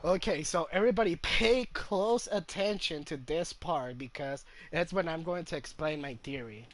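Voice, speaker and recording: A man giving casual livestream commentary on a game, with halting, chatty asides to viewers. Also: a little high, fairly bright tone, a little hiss or hum. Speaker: game streamer.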